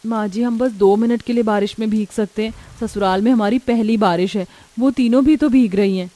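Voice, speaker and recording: A woman's voice: a little high, a little fast, dark tone, no room sound, close to an everyday voice. A woman voicing a timid, obedient young daughter-in-law in a story, deferential and pleading.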